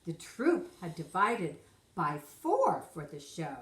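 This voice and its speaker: A woman's voice: fairly low pitch, very animated, slow. A woman reading a rhyming children's story aloud expressively, like a teacher to young pupils. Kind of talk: expressive storybook narration in rhyme. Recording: roomy echo.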